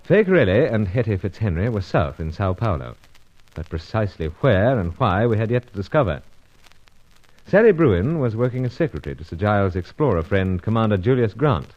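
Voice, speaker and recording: An actor playing an unflappable British secret agent in a radio serial, with a clipped, understated British manner of speaking, on a dull old recording. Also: male voice, fairly low pitch, sing-song, a little fast. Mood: measured, narrating. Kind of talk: old-time radio serial acting and narration.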